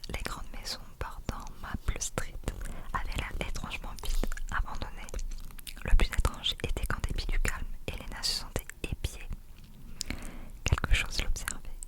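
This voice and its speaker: Woman, soft whisper, ASMR story reading, unvoiced delivery. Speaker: ASMR reader.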